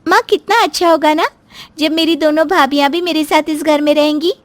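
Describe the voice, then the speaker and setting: Woman doing a high-pitched, childlike naive little sister. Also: steady tone, fairly quick, natural voice. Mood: cheerful, eager. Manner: childish, simple, insistent. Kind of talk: Hindi moral story cartoon voice-over.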